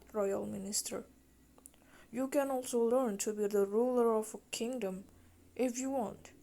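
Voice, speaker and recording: A woman's voice: on the high side, warm tone. A woman voicing a stern, cold king and father in a storybook tale, commanding and dismissive.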